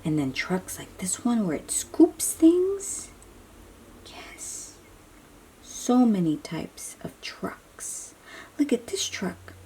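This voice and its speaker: A woman's voice: mid-range voice, wildly swooping pitch, very slow, dark tone, breathy edge, whispered, poor audio. A woman reading a picture book aloud for young children, explaining in simple phrases and putting questions to the listener.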